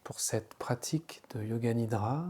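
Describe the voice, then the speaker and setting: Male yoga nidra guide, medium pitch, soft warm timbre, calm instructional delivery with spacious pauses. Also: husky. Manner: slow, soothing, unhurried instruction with pauses. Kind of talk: guided relaxation meditation.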